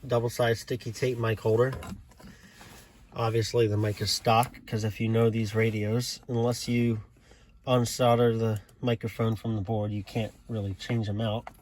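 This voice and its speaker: An American man giving a casual, unscripted walkthrough in a low-key, halting way, as if thinking aloud. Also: little pitch movement, darkish tone, slight background noise. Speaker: hobbyist showing a CB radio install. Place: inside a parked car.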